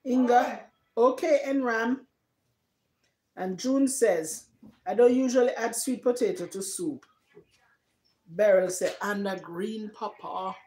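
A woman's voice: on the high side, slow pace, long pauses, darkish tone. A middle-aged woman with a Jamaican accent casually hosting a live stream and reading out viewers' comments. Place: living room, indoors. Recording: roomy echo of a living room.

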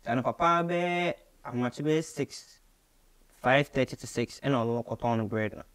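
A man's voice: medium pitch, moderate pace, fairly bright tone, clear, resonant tone. A man in his twenties with a Ghanaian accent, telling a story conversationally as a musician guest in an interview, often repeating phrases. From Ghana, Kumasi. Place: small radio studio, indoors.

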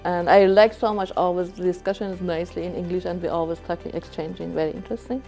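A woman from Iran, a doctoral student, speaking enthusiastically in halting non-native English in an office. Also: on the low side, fairly even intonation, mellow, faint music behind. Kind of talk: promotional interview. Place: office with bookshelves.

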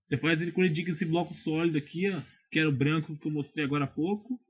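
A Brazilian man giving a casual, informal screen-recorded software tutorial, often checking that the listener follows. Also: fairly high pitch, fairly even intonation, fast, breathy edge, dull audio. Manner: informal, repetitive check-in phrases. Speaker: tutorial maker. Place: indoors at a computer.

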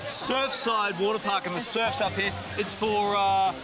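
A man's voice: high voice, fairly even intonation, a little slow, over loud music, rough recording. A middle-aged Australian man presenting a travel segment, upbeat and full of puns. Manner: playful, punning, upbeat. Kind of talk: scripted travel voice-over and banter.

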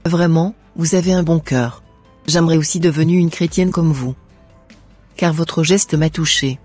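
Man voicing an earnest, husky young cartoon woman. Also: a little high, steady tone, normal speed, a little mellow. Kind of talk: scripted cartoon dialogue. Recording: music under the voice.

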